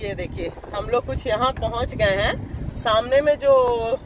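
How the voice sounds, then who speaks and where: A woman's voice: on the high side, measured pace, loud music behind the voice. A woman in her forties chatting casually through a travel vlog, switching between Hindi and English, filmed on a phone held at arm's length outdoors. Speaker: vlogger. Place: outdoors by a river and inside a car. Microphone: phone camera at arm's length.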